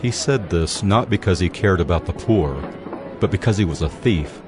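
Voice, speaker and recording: Deep-voiced male narrator with music. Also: expressive, moderate pace. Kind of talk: scripted scripture narration.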